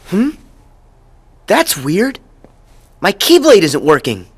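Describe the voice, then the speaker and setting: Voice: medium pitch, breathy edge, exaggerated voice. An actor playing a plucky, earnest teenage boy hero in a video game, with a headstrong manner.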